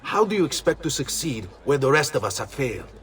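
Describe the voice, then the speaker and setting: A man's voice: medium pitch, sing-song, fairly quick, a bit bright. An actor playing an impatient, skeptical ally in a video game, blunt as he reports. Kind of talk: video game dramatic acting.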